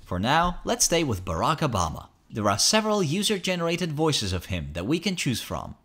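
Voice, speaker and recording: A man reading a scripted promotional software tutorial in an upbeat, instructional manner. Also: on the high side, wildly swooping pitch, moderate pace, husky. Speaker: tutorial narrator.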